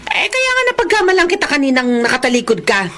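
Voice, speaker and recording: A woman's voice: high-pitched, very animated, quick pace, sharp tone, heavily acted. Dramatic, swooping Filipino mother. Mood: worried, searching. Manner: dramatic, exclamatory. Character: emotional, wounded mother, a scorned former mistress. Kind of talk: Filipino radio drama acting.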